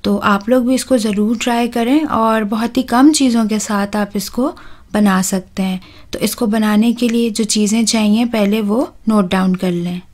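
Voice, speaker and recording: A woman, hosting a home cooking channel and talking calmly through a recipe step by step, with soft "uh" fillers. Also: mid-range voice, normal speed. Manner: calm, instructive, with soft "uh" fillers.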